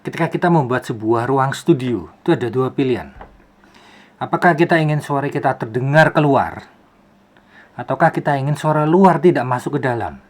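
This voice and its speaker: A man's voice: mid-range voice, animated, moderate pace. This is a man hosting a casual how-to video. He talks in an informal, explanatory way. He often poses rhetorical questions and repeats phrases to drive a point home. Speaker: YouTube host, film soundman. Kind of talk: casual tutorial.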